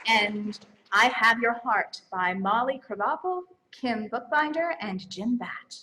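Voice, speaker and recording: A woman's voice: on the high side, takes it slowly. A woman casually co-presenting awards live on stage over a noisy microphone.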